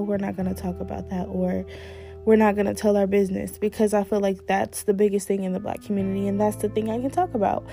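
Host: young woman, a bit hoarse, mellow tone, loose chatty delivery over soft guitar music. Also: medium pitch. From United States. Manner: chatty, candid, lots of fillers. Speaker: podcast host. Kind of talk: casual solo talk.

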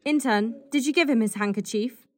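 A woman's voice: on the high side, fairly quick, darkish tone, lightly acted. A woman playing an acid-tongued, impatient political staffer in a comedy drama. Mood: impatient, mocking. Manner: cutting, sarcastic, impatient. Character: acid-tongued, foul-mouthed female political staffer, scornful. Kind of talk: fast comedy audio drama dialogue.

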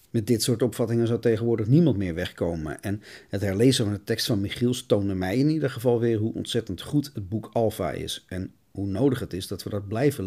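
A man hosts a podcast in an essayistic narrating style. His voice sits low and has a husky, slightly rough grain. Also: a little mellow. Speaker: podcast host.

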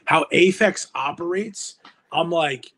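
Slangy New man, husky voice. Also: on the high side, takes it slowly. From Hudson County, New Jersey. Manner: slangy, animated, heavy swearing and "yo". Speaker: musician guest. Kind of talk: casual podcast chat.

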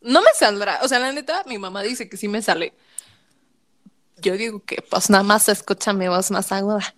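A woman with a Mexican accent, co-hosting a casual chat about childhood cartoons, lively and quick to laugh. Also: mid-range voice. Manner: lively, laughs, slips into impressions. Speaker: podcast co-host.

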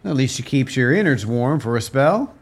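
Man playing a lively-pitched folksy local man. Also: normal speed, breathy edge. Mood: wistful. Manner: homespun, plain-spoken country phrasing. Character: folksy, resigned local man living rough. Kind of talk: radio play acting.

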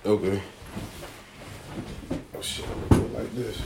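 A man's voice: on the low side, fairly even intonation, moderate pace, gravelly, very noisy. A man chatting casually as a show host, blunt and teasing, with heavy swearing and slang. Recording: roomy, far from mic, people chattering behind.

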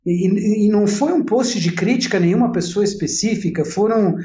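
A man in his forties with a São Paulo accent speaks in a slightly high, mellow voice. He chats informally as an interview guest, looping back to rephrase as he recounts his life. The sound is roomy and a little dull. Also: brisk. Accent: São Paulo Brazilian. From Italy and São Paulo, Brazil. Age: 40s. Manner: talkative, informal, repeats and rephrases himself. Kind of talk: casual autobiographical storytelling.

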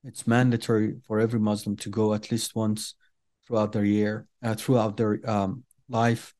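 A middle-aged man from Iran, a native Farsi speaker, giving an earnest personal testimony as an interview guest, speaking deliberately with many pauses and fillers. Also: fairly low pitch, flat delivery, darkish tone.

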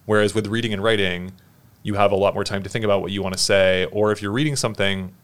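Low voiced guy hosting a podcast, calm and thoughtful, asks reflective questions. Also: quick pace, clean tone. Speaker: podcast host. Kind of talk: podcast conversation and segment introduction.

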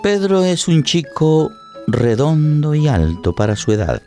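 A man's voice: fairly high pitch, sing-song, measured pace, darkish tone, faint music behind. A man narrating a scripted radio drama in a dramatic storytelling style.

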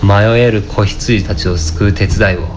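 A man's voice: fairly low pitch, steady tone, normal speed, background noise, close to an everyday voice. A man playing a cynical, lazy part-time fake fortune teller in a radio drama, grumbling in casual, blunt speech. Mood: jaded narration. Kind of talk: amateur radio drama acting and narration.

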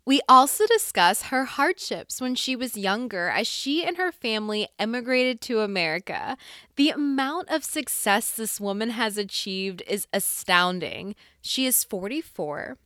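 High-voiced female podcast host, dry close sound. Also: animated, measured pace, fairly bright tone, no room sound. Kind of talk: podcast introduction and interview.

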